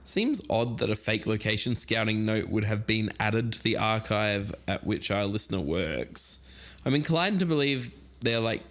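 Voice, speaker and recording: A man's voice: on the low side, unhurried, fairly bright tone. A man co-hosting an investigative podcast, explaining research in a partly casual, chatty style. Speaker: podcast co-host.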